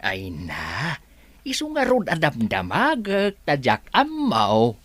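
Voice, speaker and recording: A man's voice: fairly high pitch, wildly swooping pitch, slow, fairly bright tone, no echo, heavily acted. A man playing a nervous servant in a radio drama who stammers anxiously. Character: nervous servant Mang Igme.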